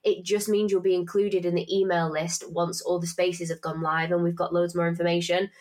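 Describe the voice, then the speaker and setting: A woman's voice: lowish voice, flat delivery, fairly quick, darkish tone. Chatty woman with a stuffy nose. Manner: chatty, swearing, self-mocking. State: congested from hay fever. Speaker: podcast host and finance tutor. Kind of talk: casual podcast chat with promotion.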